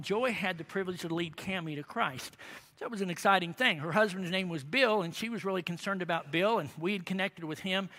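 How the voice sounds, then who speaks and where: A man preaching a sermon, telling humorous stories with animated emphasis. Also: high-pitched, long reverb tail. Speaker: pastor.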